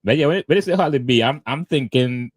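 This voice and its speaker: A man's voice: mid-range voice, expressive, quick pace, slightly rough, no echo, clean studio sound. A man hosting a podcast, asking questions and affirming often with "yeah, man". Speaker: podcast host. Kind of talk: casual interview conversation.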